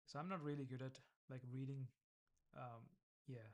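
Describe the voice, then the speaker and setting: A man with an Indian accent talks casually while working through his app. He thinks aloud, pausing, restarting and trailing off mid-sentence. His pitch stays in an ordinary male middle range. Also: fairly even intonation. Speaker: vlogger building an app. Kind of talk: casual screen-recorded coding vlog.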